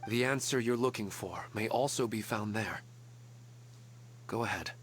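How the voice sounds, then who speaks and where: An actor playing a gentle, resigned family man who speaks politely and quietly wistful. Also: lowish voice, unhurried. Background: game music.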